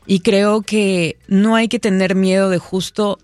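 A woman's voice: medium pitch, steady tone, unhurried, no room sound. A woman hosting a live public radio interview, chatting eagerly with interjections. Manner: warm conversational, eager interjections. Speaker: radio host. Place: radio studio. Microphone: studio microphone.

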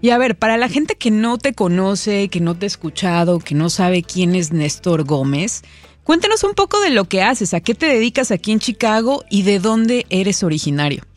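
A woman's voice: medium pitch, very animated, normal speed. Woman hosting live radio, chatty. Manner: warm conversational, eager interjections. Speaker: radio host. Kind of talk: live public radio interview. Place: radio studio. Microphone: studio microphone.

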